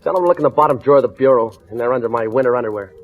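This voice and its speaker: A man's voice: mid-range voice, very fast, natural voice. A man playing a cool, wisecracking murder suspect in a 1940s radio crime drama, laconic and defiant. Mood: nonchalant. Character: cocky, unruffled male murder suspect, wisecracking and streetwise. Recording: dull, muffled vintage radio recording.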